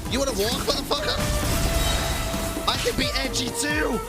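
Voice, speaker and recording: A man's voice: very high voice, sing-song, normal speed. A man streaming a video game, reacting in casual, sweary, exclamatory commentary. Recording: loud game music behind voice. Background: loud game music.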